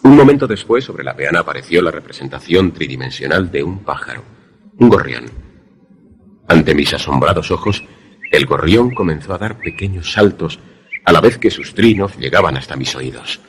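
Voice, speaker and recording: Male student-narrator for suspense radio drama, man acting: very low, husky, formal and deferential, measured literary delivery. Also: sing-song, heavily acted. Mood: measured, suspenseful.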